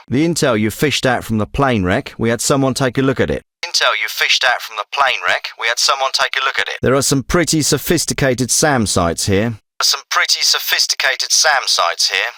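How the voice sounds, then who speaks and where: A hard-nosed covert military commander from a video game. His bright, forceful voice sits at a middle pitch, dry and close. He delivers terse orders and briefings with firm authority. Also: dry recording. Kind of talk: scripted video game dialogue. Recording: some clips processed as radio.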